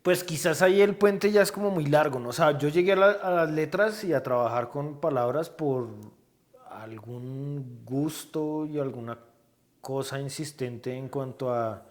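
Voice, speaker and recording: A man, a guest artist talking thoughtfully in a casual interview, often pausing and restarting as he searches for words. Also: fairly high pitch. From Colombia.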